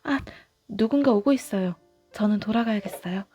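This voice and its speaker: A woman's voice: mid-range voice, normal speed, dark tone, faint music behind, close to an everyday voice. A woman voicing a princess in love in a storybook, speaking persuasively. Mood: hurried.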